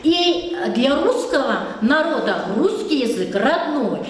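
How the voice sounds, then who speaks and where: A middle-aged woman teaches a class in a high, bright voice. She keeps asking the pupils questions and praising their answers. The sound rings around the room and reaches a distant camera mic. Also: big pitch swings, slow pace. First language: Lezgian. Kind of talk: classroom lesson. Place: echoey classroom. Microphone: far camera. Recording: echoey room picked up from far off.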